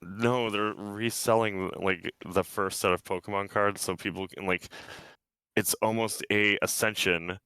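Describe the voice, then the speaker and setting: A man from the US East Coast with a low but bright, crisp voice. He tells stories in a laid-back way and swears freely. The sound is dry and close. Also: fairly even intonation, a little slow, no echo. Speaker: podcast co-host. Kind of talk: casual chat among friends.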